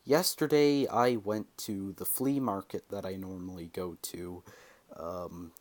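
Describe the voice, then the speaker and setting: A man's voice: sits low, fairly even intonation, unhurried, a little mellow. A young man talks casually to camera in a hesitant, rambling way, like a hobby vlogger. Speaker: video creator showing collection. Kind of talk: casual vlog talk to camera.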